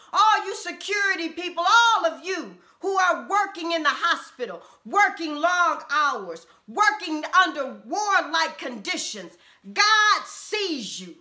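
A middle-aged woman pastor preaching a sermon from a small room at home, with impassioned repeated refrains and drawn-out emphasis. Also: very high voice, bright, forceful tone.

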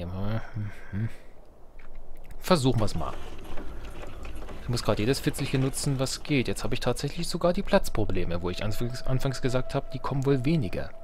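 A man's voice: mid-range voice, a little hiss or hum. A man giving casual let's play commentary, thinking aloud and muttering to himself as he goes. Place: indoors, voice-over on game capture.

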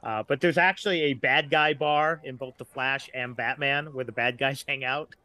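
A middle-aged man speaks as a guest in a casual podcast discussion. His voice is bright and sits at a medium pitch, recorded dry. He tends to ramble through details as he goes. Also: fairly even intonation, normal speed, no room sound.

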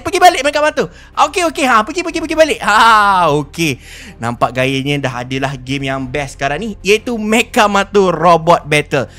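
A man's voice: very high voice, pushed and bright. A young man with a Malaysian accent doing playful, exclamatory roleplay commentary as host of a gaming video, repeating words for emphasis. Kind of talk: excited roleplay game commentary.

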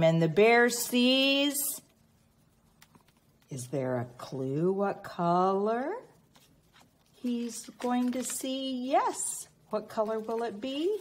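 A woman reading a picture book aloud expressively to young children, prompting them with teacherly questions and pauses. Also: fairly high pitch, sing-song. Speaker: children's read-aloud reader.

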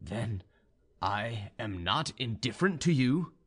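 A man's voice: mid-range voice, big pitch swings, slow pace, breathy edge, exaggerated voice. A man voicing a humble, lovestruck young officer in an audiobook, earnest and deferential. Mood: astonished, hopeful. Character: ardent, humble young male officer hopelessly in love. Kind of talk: audiobook character dialogue.

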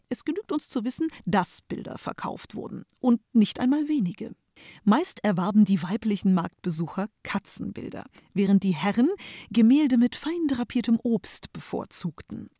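A woman's voice: mid-range voice, mellow, dry recording. A middle-aged woman reading scripted storybook narration as a storyteller, close to the microphone. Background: music.

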